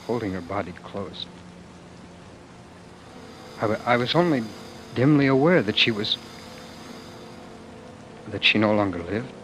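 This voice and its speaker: An actor playing a tender, desperate fugitive husband in old-time radio drama style, halting and stammering over his words. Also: deep voice, a bit bright. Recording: noisy vintage radio drama broadcast.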